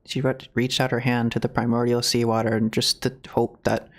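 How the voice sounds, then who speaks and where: Halting male game streamer, medium pitch. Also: flat delivery. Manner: halting, rambling, searching for words. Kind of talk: casual live game reaction commentary.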